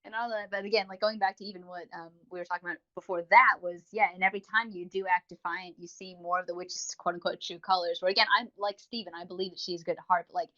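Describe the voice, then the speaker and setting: Fast-talking woman, dull lo-fi audio. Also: on the low side, steady tone, pushed and bright. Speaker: podcast co-host. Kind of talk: casual review chat.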